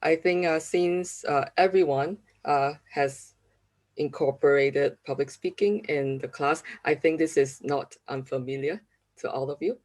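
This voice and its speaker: A woman from Malaysia in her thirties presents research at an academic conference. Her voice sits low for a woman. She speaks politely and steadily, pausing on hesitant fillers. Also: darkish tone. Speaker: university lecturer presenting research.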